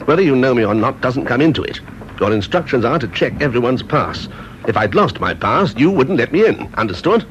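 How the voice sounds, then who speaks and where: A man's voice: mid-range voice, big pitch swings, fairly quick, close to an everyday voice. An actor playing a stern, proud male government official in a radio drama, clipped and officious. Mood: curt, officious. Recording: muffled, noisy radio drama recording.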